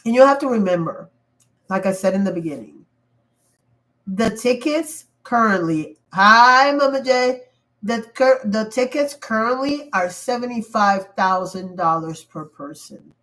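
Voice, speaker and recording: A woman's voice: fairly high pitch, expressive, measured pace, a bit bright, low-quality recording. A woman hosting a casual livestream while sick and losing her voice, talking in an emphatic, rambling way with frequent restarts. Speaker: livestream host. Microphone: computer mic.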